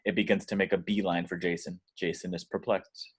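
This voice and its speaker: Low-voiced man narrating a script. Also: level tone, fairly quick, breathy edge. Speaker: reader of action descriptions. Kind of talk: scripted narration at table read.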